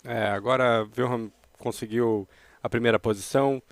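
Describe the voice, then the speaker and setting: A man with a Brazilian Portuguese accent doing excited play-by-play commentary that rises with the race action. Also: medium pitch, fairly quick, dry recording. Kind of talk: live sports commentary.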